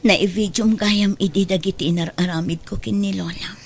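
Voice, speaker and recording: A woman's voice: medium pitch, steady tone, normal speed, gravelly, breathy edge. A woman playing a remorseful, mournful village mother in an Ilocano radio drama. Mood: subdued guilt. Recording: guitar music behind. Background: plucked guitar music.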